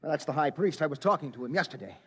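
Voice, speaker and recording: An actor playing a persistent newspaper reporter, questioning and slightly hesitant, in an old-time radio drama. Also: male voice, a little high, animated, very fast, slightly rough. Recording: dull, noisy old radio recording.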